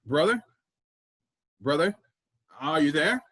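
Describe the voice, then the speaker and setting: A man acting in a staged play reading as an earnest, wistful man searching for his vanished sibling, with a husky voice. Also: a little high, lively intonation, takes it slowly, fairly bright tone, full character voice. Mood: urgent, searching.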